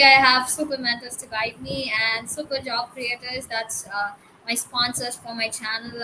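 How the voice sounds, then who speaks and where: A woman's voice: a little high, pushed and bright, slight background noise, low-quality recording. A young female student with an Indian accent giving an earnest, emphatic answer as a panelist.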